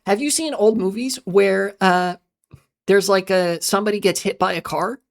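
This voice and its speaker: Woman, livestream host, slightly raspy, strained voice, casual chat, halting with fillers. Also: medium pitch. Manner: halting, many fillers and restarts, talks fast then slows. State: voice strained from long talking and voice training.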